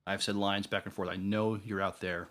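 A man co-hosts a fan podcast. His talk is casual and halting, with a self-mocking geek streak. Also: fairly low pitch, little pitch movement, fairly bright tone. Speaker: podcast co-host.

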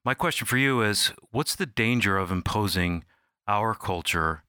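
A man's voice: fairly low pitch, expressive, moderate pace, bright, forceful tone, clear, resonant tone, no room sound, clean studio sound. A man hosting a radio program, speaking as the presenter. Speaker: program host.

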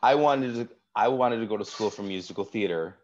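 A very low, deep-voiced man in his forties, originally from Wisconsin. He tells stories freely as a guest in a relaxed conversation, filling his speech with "like" and "um". His audio is slightly dull and muffled. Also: brisk, crowd noise. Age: 40s. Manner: animated storyteller, frequent "like" and "um". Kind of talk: casual conversation.

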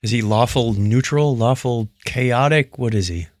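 A man's voice: mid-range voice, measured pace, no echo, studio quality. A man co-hosting a podcast in a casual, chatty style. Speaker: podcast co-host.

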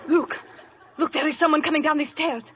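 Melodramatic 1930s radio heiress, bright high voice, theatrical delivery, dull old broadcast sound. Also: female voice, fairly even intonation, brisk, a faint crowd, a little hiss or hum. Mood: dramatic. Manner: theatrical, intense. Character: melodramatic young heiress guarding a fortune.

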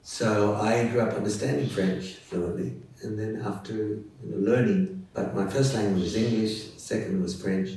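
Low, mellow Australian man, echoey room. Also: fairly even intonation, moderate pace, few pauses. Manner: easygoing, chuckles now and then, many fillers and restarts. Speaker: interview guest. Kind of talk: relaxed personal storytelling. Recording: echoey room, distant mic, faint music.

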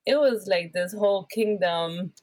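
A middle-aged woman from Puerto Rico, a worship pastor and podcast guest, chatting warmly and laughing often. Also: mid-range voice, a little slow, dry recording. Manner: warm, laughs often, animated. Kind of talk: casual chat.

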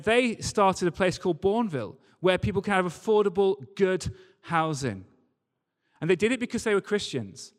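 A man giving a sermon in a conversational, storytelling way, with emphatic repetition, recorded live in a large church hall. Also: high-pitched. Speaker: preacher. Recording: live talk in a reverberant hall.

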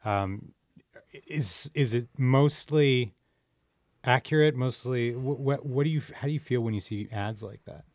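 A man hosting a podcast in a casual, informal and somewhat halting conversational style. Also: medium pitch, a little mellow, dry recording. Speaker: podcast host. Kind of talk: casual interview chat.

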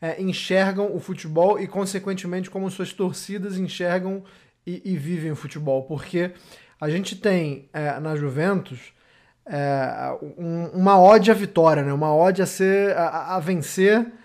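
Man, Brazilian accent, raised pitch, podcast host, conversational, hesitant phrasing. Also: measured pace, darkish tone. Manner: hesitant, searching for words. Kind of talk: conversational discussion, posing a question.

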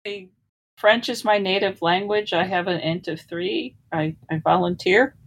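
A woman's voice: on the low side, measured pace, breathy edge. A woman playing in a tabletop game, chatting casually with dry, wry remarks. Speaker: player.

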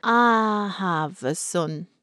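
A woman voicing a cold, scheming older matriarch who speaks with measured, genteel condescension in a story reading. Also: medium pitch, a bit bright, dry recording, clean studio sound, voice pushed up. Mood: measured persuasion.

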